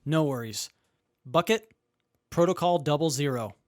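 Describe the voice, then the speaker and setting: A performer playing an eager boy tinkerer with a casual, excitable manner in an audio drama. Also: medium pitch, unhurried, no echo, studio quality, lightly acted. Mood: assured. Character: eager, nimble-tongued young male tech kid. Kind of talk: post-apocalyptic audio drama acting. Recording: noisy recording with clicks.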